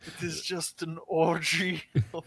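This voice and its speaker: A man acts out a grave film director with a bleak view of the world. The delivery is very slow and deliberate, with a solemn weight on each phrase, pitched a little high for a man. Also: flat delivery, a bit bright, slightly rough, no room sound, heavily acted. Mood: grave disgust. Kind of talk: celebrity impression in casual podcast chat.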